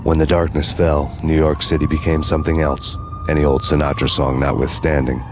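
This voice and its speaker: An American man playing a brooding, world-weary noir avenger, narrating deadpan in a hardboiled game. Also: extremely low pitch, brisk, noisy background. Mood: brooding, bleak.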